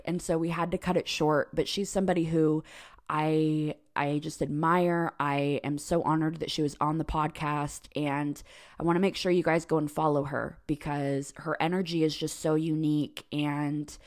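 A woman's voice: sits low, darkish tone. A woman hosting a podcast from home, chatting in a casual, effusive way with many fillers and talking over a remote recording. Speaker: podcast host. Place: home in Idaho.

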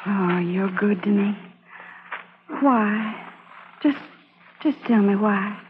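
A woman's voice: mid-range voice, slow, noisy background, lightly acted. An actress playing a brave young woman spy disguised as a boy, earnest and dramatic in an old radio drama. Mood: weary gratitude. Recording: tinny vintage radio recording with music.

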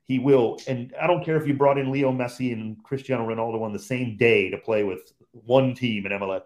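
A man's voice: medium pitch, fairly even intonation, fairly quick, a little mellow. A man who calls games on the radio, a guest on a podcast chat, talking in an emphatic, opinionated way and repeating points for stress.

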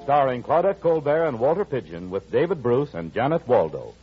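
A man's voice: medium pitch, sing-song, a little fast, over loud music. A man announcing in 1940s radio style with polished, emphatic salesmanship. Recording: dull old radio recording.

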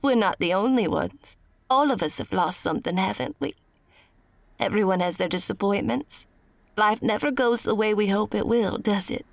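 A woman playing a gentle, consoling member of a support group in an audio drama. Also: mid-range voice, moderate pace, gravelly, no room sound, natural voice.

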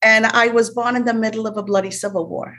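A woman from Sri Lanka, a business coach, telling her life story as an interview guest in a measured, formal way. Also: fairly high pitch, steady tone, fairly bright tone. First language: Tamil or Sinhala, not English.

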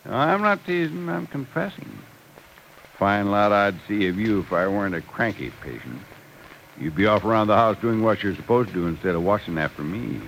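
A fussy older male patient in a vintage radio drama, teasing and theatrical. His lowish voice is gravelly and swoops about as he wheedles. The old recording has a little noise. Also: lively intonation, moderate pace, fairly bright tone, low-quality recording. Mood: playful teasing. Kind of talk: 1940s radio drama acting.

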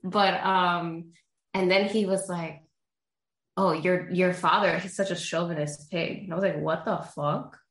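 A woman's voice: fairly low pitch, unhurried. Woman therapist, echoey room. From Honduras. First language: Spanish. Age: young adult. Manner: candid, lively storytelling with frequent fillers. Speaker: therapist guest. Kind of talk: casual interview chat. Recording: roomy echo.

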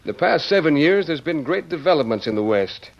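An actor playing a dignified older US President in a vintage radio drama, speaking in a measured, formal, authoritative way. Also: male voice, on the high side, sing-song, gravelly. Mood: stately, deliberate. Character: older male US President, firm, dignified, brooking no argument. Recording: dull old radio recording.

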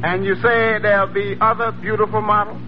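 Wry, polite underling played by a man, extremely high pitch, dryly needling, vintage radio comedy, dull sound. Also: level tone, fairly quick, background noise, lightly acted. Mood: wry, teasing. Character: wry, deferential employee who gently needles his boss. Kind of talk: 1940s radio comedy acting. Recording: muffled old radio recording.

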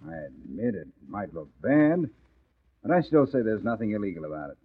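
A man playing a calm, reassuring sidekick to a crook in a 1940s radio drama, unruffled and deferential. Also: lowish voice, a little fast, breathy edge. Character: crooked businessman's calm, reassuring male henchman. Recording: muffled, thin old radio recording.

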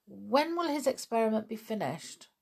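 A woman's voice: fairly high pitch, sing-song, normal speed, vocal fry, breathy edge, voice pushed up, exaggerated voice. A woman voicing a polite, inquisitive, secretive boy in a storybook reading.

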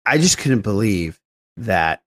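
Male host for casual pop culture podcast, lowish pitch with very animated intonation. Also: takes it slowly.

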